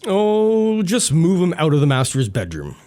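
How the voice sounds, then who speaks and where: Male actor as sardonic self-made gentleman, period drama; highish voice, highly animated, bitter and mocking. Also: unhurried. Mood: cold, commanding. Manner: mocking, coolly formal. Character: bitter, sardonic former stable boy turned wealthy gentleman. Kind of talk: period literary radio drama acting.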